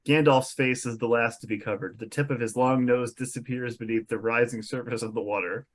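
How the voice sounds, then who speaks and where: A man's voice: mid-range voice, fairly even intonation, a little fast. A man reading scripted stage directions as narrator at an amateur comedic table read.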